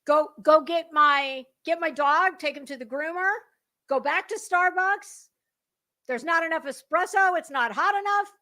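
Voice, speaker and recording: A woman's voice: sits high, unhurried, pushed and bright, pitched above the performer's own voice. A woman playing an imperious fashion magazine boss, haughty, bossy and emphatic. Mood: imperious, demanding.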